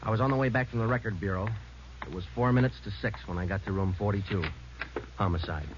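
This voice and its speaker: An actor playing a terse, weary homicide sergeant in a radio police drama, clipped and low-key. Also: male voice, quick pace, lightly acted. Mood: matter-of-fact. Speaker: narrator and lead actor. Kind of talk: 1940s-50s radio police drama acting. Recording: muffled, noisy old radio recording.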